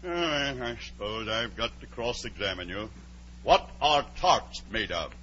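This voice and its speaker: A man's voice: on the low side, animated, unhurried, pushed and bright. A man playing a fussy, pompous king sitting as judge in a 1940s radio play. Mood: pompous, officious. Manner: pompous, officious. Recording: dull, faintly noisy 1940s radio recording.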